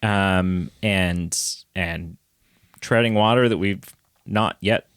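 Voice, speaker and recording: A man co-hosting a podcast in relaxed, rambling chat, often restarting and trailing off mid-thought. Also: low voice, slow, clear, resonant tone, no echo, clean studio sound. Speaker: podcast co-host. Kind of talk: casual chat.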